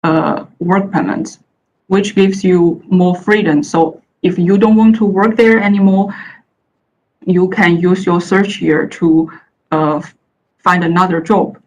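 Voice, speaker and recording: A woman's voice: lowish voice, steady tone, unhurried, a little mellow, a little hiss or hum, poor audio. A woman from China whose first language is Chinese, giving a presentation talk full of fillers and restarts. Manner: hesitant, many uh fillers and restarts. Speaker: panel speaker. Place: the Netherlands.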